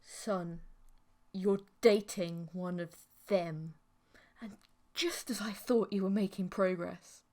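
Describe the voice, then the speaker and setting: A woman's voice: medium pitch, fairly even intonation, slow pace, darkish tone, natural voice. A disapproving father read by a woman in an audiobook story. He is blunt and curt, talking sternly to his son. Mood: disappointed.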